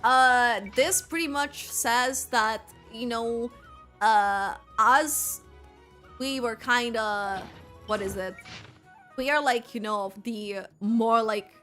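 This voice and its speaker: A woman's voice: fairly high pitch, slow pace, a bit bright, soft background music. A woman streaming a game with casual, rambling commentary, thinking aloud and correcting herself as she goes. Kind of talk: casual live gameplay commentary.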